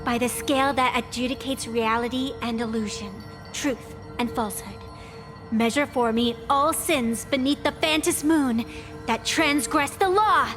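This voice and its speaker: High-pitched, proud, playful girlish arbiter. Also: unhurried, music in the background. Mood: solemn. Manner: theatrical, boastful, lightly indignant. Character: proud, playful young female arbiter with schoolgirl charm. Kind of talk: video game voice acting.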